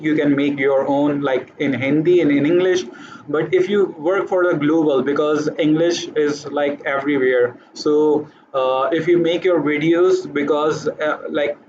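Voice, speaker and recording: Urdu-accented man, halting motivational talk. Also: fairly high pitch, darkish tone, dull audio, slight background noise. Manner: hesitant, many fillers and restarts. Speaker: vlogger.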